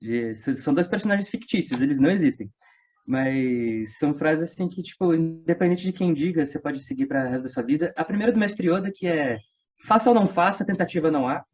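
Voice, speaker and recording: A man's voice: fairly high pitch, lively intonation, fairly quick, darkish tone. A man with a Brazilian accent chatting casually as an interview guest, speaking in a colloquial, emphatic way. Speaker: guest, radio drama company member. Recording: dull lo-fi sound.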